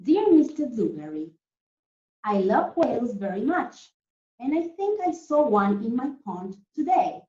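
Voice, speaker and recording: A woman teacher reading a story to young children in a deliberate, animated way, often putting questions to them. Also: fairly high pitch. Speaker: primary school teacher reading a story to pupils. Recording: roomy, dull lo-fi sound.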